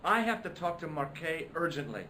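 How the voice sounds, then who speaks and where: A persistent young investigator from a video game, dry and wry even when he pushes hard. His voice sits mid-range and matter-of-fact. Faint noise hums under it. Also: fairly high pitch, a little fast. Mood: insistent.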